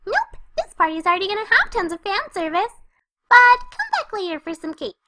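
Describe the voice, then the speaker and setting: A hyperactive young female cartoon pony, extremely high and very animated. She chatters cheerfully and breezily to the listener, scatterbrained and party-loving, in a dry, close recording. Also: fairly quick, no room sound, heavily acted. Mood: excited, chatty. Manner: bubbly, chatty, breezy narrating straight to the listener. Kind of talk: fan fiction audio reading in cartoon character.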